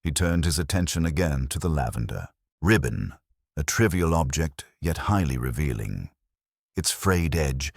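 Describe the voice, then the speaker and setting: A man reading scripted mystery fiction as a narrator, in a measured, formal storytelling manner. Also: very deep voice, dry recording, clean studio sound.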